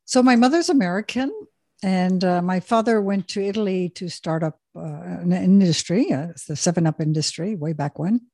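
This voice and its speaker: A woman's voice: on the low side, normal speed, mellow, no room sound, studio quality. A middle-aged woman from Switzerland, an executive coach, talking casually as a podcast guest with frequent fillers and restarts. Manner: hesitant, many fillers and restarts. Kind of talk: casual interview talk.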